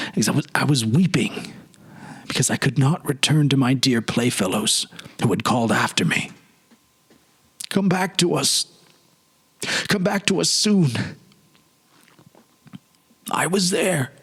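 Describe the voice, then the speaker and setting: A man playing a haunted, wistful man recalling his lost childhood, halting and earnest in a dramatic literary reading. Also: on the high side, breathy edge, pitched above the performer's own voice, full character voice. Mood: anguished.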